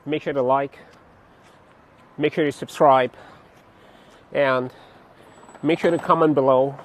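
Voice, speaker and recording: A young man from Cameroon chatting casually to his vlog viewers outdoors on a city street, laughing often and pausing with fillers. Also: medium pitch, fairly even intonation. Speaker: vlogger. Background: faint street traffic.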